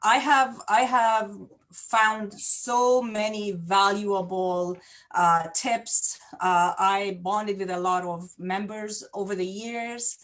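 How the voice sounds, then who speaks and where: A woman's voice: medium pitch, fairly even intonation, takes it slowly, a bit bright. A woman from Hungary, a guest on a casual livestream interview, talking in a chatty way and often correcting herself. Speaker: guest, digital marketer.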